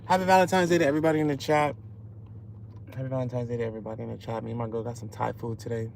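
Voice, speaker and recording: Laid-back gravelly man livestreaming from car. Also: mid-range voice, steady tone, racing, darkish tone, slight background noise. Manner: laid-back, mumbling asides, hyped bursts. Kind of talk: casual livestream chat. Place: in a car at a drive-through.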